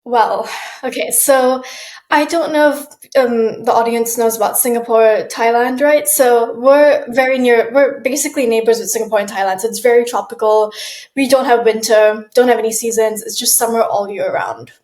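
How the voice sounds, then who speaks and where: A young woman from Malaysia talks casually as an interview guest, her voice a little high. She restarts her sentences often and laughs softly between them. The sound is roomy, with an echo around her. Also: fairly even intonation, normal speed. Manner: chatty, with frequent restarts and soft laughs. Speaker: guest, aspiring model.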